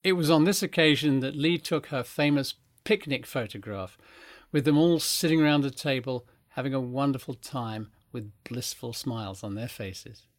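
Older male guest speaker, measured and emphatic storyteller, mid pitch, dry sound. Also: no echo. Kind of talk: storytelling talk on art history.

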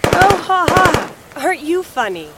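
A woman's voice: very high-pitched, sing-song, a little slow, heavily acted. A woman playing a tough, wounded, sarcastic survivor in an audio drama, with a dry manner. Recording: very noisy, gunfire and rain behind.

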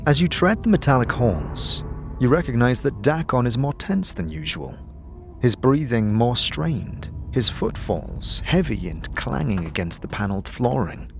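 Male narrator, mid-pitched, deliberate and dramatic fantasy storytelling over a clear music bed. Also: sing-song. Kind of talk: scripted second-person fantasy narration.

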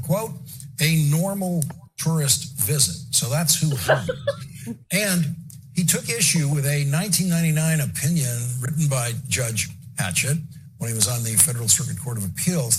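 A man narrating a news report in a measured, pointed delivery. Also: medium pitch, a little hiss or hum, low-quality recording.

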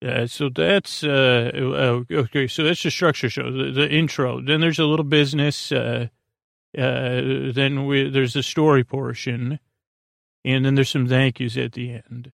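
Man, creaky voice, rambling bedtime monologue, halting, repetitive, trailing sentences, dry sound. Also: mid-range voice, steady tone, fairly bright tone, no room sound. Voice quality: creaky, gravelly. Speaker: podcast host.

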